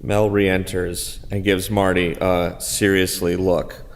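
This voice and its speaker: A man's voice: low-pitched, level tone, slow pace. A man reading stage directions as matter-of-fact scripted narration in a reverberant hall.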